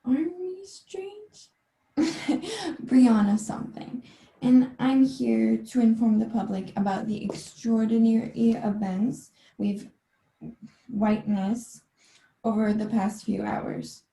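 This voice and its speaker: A woman's voice: a little high, takes it slowly, gravelly, mellow, slight background noise. A young woman playing a bumbling army general who stumbles over her words in a comedic radio play. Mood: halting, confused. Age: young adult. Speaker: student actor. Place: bedroom at home. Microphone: laptop on a video call. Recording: roomy, echoey bedroom sound.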